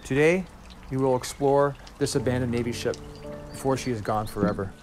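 Guy reading out ship facts, calm scripted voice, guitar music behind. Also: medium pitch, fairly quick. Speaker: narrator. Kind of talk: scripted history narration.

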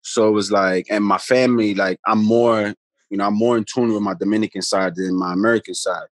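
A man rambles through stories in an easygoing, conversational way. His voice sits a little low, and the sound is dry and close from a phone held near him on a video call. Each point gets padded with casual asides. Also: steady tone, brisk, no echo. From the Bronx, New York. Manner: laid-back, rambling, many "you know what I mean" tags. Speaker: guest, pro basketball player. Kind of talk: casual storytelling chat. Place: room at home, indoors. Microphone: phone camera held close on a video call.

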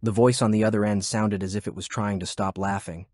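A man's voice: fairly low pitch, level tone, a little fast, darkish tone, no room sound, clean studio sound. A man reading scripted fiction as an audiobook narrator.

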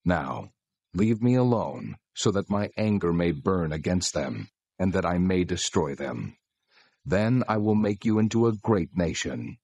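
Deep, husky, solemn God. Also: male voice, expressive, a little mellow, highs cut off, full character voice. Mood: stern, angry. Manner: solemn, deliberate, authoritative. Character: God, solemn male deity giving commands. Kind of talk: dramatized scripture reading.

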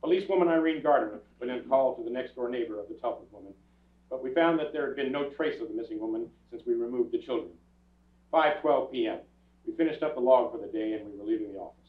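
A man's voice: medium pitch, quick pace, natural voice. An older man playing a terse, businesslike police detective in a staged radio crime drama, speaking in a matter-of-fact, procedural manner. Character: businesslike, clipped male police detective narrating his case. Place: theatre stage before an audience. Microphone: far camera. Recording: echoey theatre from far off, tinny.

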